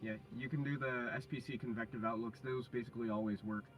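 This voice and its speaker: A man co-presenting a live stream, giving casual, halting technical explanations in an informal way. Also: mid-range voice, fairly even intonation, fairly bright tone, low-quality recording.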